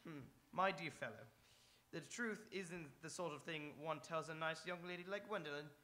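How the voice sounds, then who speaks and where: A man acting in live radio theatre as a proper, earnest, easily flustered young English gentleman. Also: a little high, normal speed. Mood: exasperated, confiding. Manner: proper, hesitant, nervous.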